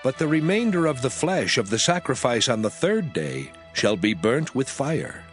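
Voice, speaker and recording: A man playing God proclaiming sacred laws in a dramatized scripture reading, solemn, deliberate and declamatory. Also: medium pitch, big pitch swings, natural voice. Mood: solemn, commanding. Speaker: scripture voice actor. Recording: music under the voice. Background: background music.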